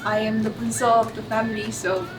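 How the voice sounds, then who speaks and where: A nineteen-year-old woman from Korea giving quiet, measured interview answers with pauses. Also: on the high side, flat delivery, music in the background, rough recording. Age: late teens.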